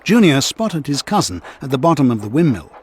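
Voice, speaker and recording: A man narrating a children's read-along story in an expressive, scripted storytelling manner. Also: medium pitch, brisk, slight background noise.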